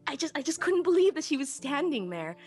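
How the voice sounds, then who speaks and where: A woman's voice: sits high, big pitch swings, fairly quick. Woman as a wistful young pony narrator over music. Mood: smitten, wistful. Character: shy, self-deprecating young female pony technician. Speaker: first-person narrator. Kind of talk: dramatic live audiobook reading.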